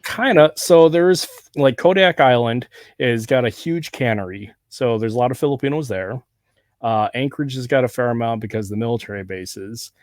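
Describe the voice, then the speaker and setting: A man's voice: medium pitch, no echo, clean studio sound. A man from Southern California with a laid-back accent that has a Hawaiian touch, talking casually as a podcast host. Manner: relaxed, rambling, quick to agree. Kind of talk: casual conversation.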